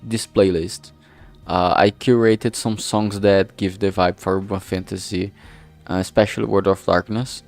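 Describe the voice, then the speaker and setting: A man chatting casually on a livestream in a rambling, halting way, swearing now and then, speaking into a filtered streaming microphone. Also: sits low, steady tone, vocal fry, darkish tone. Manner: rambling, halting, often losing his thread. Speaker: streamer and amateur game writer. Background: background music.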